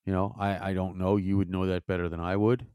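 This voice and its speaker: A man's voice: sits low, flat delivery, brisk, dark tone, smooth, dry recording. A man co-hosting a hockey podcast, trading dry, teasing banter with his partner. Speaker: podcast co-host. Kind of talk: casual sports chat.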